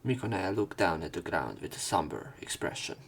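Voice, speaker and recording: A man reading visual novel narration and dialogue aloud as a let's player, casual and a little halting. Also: low-pitched, big pitch swings, vocal fry, slight background noise.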